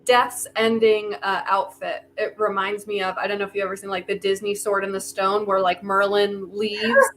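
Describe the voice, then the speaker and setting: A woman with a medium pitch chats casually. Her phrasing is informal and full of "like". There is slight noise on the video call recording. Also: level tone, normal speed, hardly any pauses, a bit bright, poor audio. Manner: informal, peppers talk with "like". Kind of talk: casual chat.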